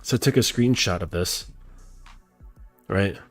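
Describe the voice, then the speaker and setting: A man's voice: fairly low pitch, measured pace, no echo, soft background music. A man hosting his show while congested from a cold, talking nasally in a rambling casual way with lots of fillers and restarts. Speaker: podcast host. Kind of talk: casual chat.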